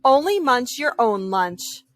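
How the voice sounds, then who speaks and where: A woman voicing an exuberant young boy shark, loud and excitable, in a children's storybook reading. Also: fairly high pitch, slow pace, faint music behind. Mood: eager. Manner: exuberant, exclaiming.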